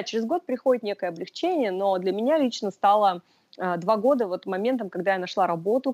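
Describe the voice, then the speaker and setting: A woman's voice: mid-range voice, a little mellow, dry recording. A young woman from Russia, a podcast guest, talking in a thoughtful, casual way with many fillers. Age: young adult. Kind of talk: casual interview talk.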